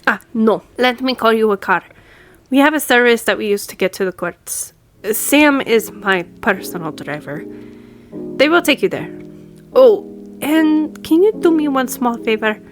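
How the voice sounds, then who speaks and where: A woman's voice: on the high side, animated, measured pace, faint music behind. A woman playing a friendly, businesslike law firm boss in an audio roleplay, speaking conversationally to the listener. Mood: brisk, friendly. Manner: businesslike, conversational, lightly teasing. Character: brisk, friendly female law firm boss giving instructions.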